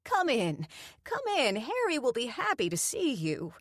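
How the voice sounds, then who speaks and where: A cheerful elderly hostess, put on by a woman reading a story, fussing in a high, bright voice. She draws out her doting words slowly, with lively swings in pitch. The sound is close and dry. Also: no echo, exaggerated voice. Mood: doting, concerned. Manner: high, loud and bright, slow doting phrases.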